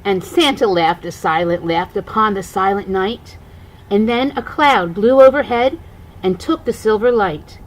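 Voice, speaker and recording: An older woman, a grandmother, reading a rhyming children's storybook aloud in an expressive, singsong way. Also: medium pitch, measured pace, low-quality recording.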